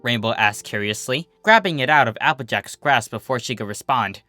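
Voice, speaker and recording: A man's voice: mid-range voice, lively intonation, a little fast, bright, forceful tone, soft background music. A man reading fan fiction aloud as scripted story narration.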